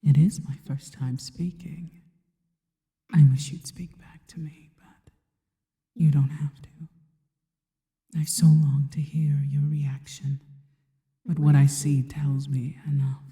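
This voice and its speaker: Casting: actress as adoring, sinister female spider creature for ASMR roleplay, low breathy whisper, very dark and soft, drenched in reverb. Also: level tone. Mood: tender, longing. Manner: slow, deliberate, intimate, formal phrasing. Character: seductive spider-woman monster, adoring, sinister, slow and reverent. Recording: long reverberant echo.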